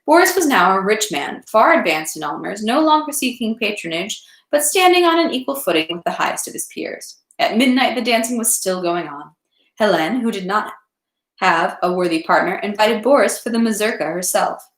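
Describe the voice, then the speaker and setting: Female amateur reader for literary narration, medium pitch, slightly unpolished delivery, recorded in a reverberant home room. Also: lively intonation, normal speed, a bit bright. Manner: unpolished amateur read-aloud, stumbles and rereads. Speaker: reader of a read-aloud series. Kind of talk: reading a classic novel aloud. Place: indoors at home, echoey room.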